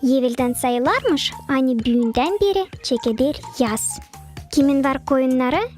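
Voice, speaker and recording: A high-pitched, childlike voice for a small animal friend in a kids' cartoon. It sounds helpful, friendly and matter-of-fact. Music runs clearly behind the words. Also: female voice, lively intonation, a little slow, a little mellow. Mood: conversational.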